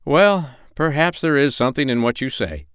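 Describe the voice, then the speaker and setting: A man's voice: medium pitch, sing-song, moderate pace, fairly bright tone, smooth, no echo, studio quality, pitched above the performer's own voice. A man playing a kind, modest, absent-minded doctor in a storybook, mild and musing.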